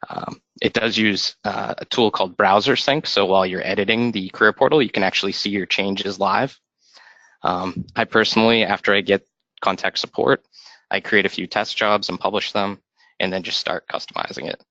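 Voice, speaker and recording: Brief: lowish, bright, forward male voice; step-by-step tech explainer; dry, muffled call audio. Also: moderate pace, no room sound. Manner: steady instructive explaining. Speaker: software consultant giving a demo. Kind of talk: screen-share installation walkthrough.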